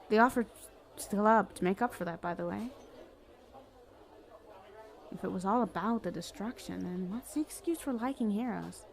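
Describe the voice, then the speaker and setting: Woman playing a flirty, creaky-voiced villainess. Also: medium pitch. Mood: earnest, pleading. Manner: teasing, cajoling, conversational with hesitant stammers. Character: flirty, smug, lovestruck female villain pleading for a chance. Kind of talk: ASMR roleplay, addressing the listener as love interest.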